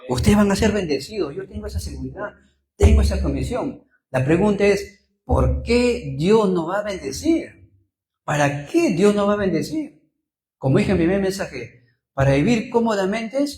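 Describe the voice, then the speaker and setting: A man's voice: high voice, animated, moderate pace, a little mellow, reverberant. A Peruvian man with a Peruvian Spanish accent preaching as a pastor, telling personal stories, repeating phrases for emphasis and asking rhetorical questions. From Peru. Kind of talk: sermon with personal anecdotes.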